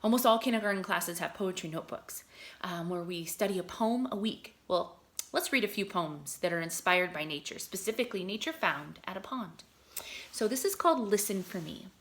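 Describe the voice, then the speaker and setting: A woman teacher reading poetry aloud to young children, explaining as she goes and putting questions to her listeners in an expressive way. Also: medium pitch, moderate pace. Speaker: kindergarten teacher reading to pupils. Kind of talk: read-aloud lesson for young children, explaining and reciting poems.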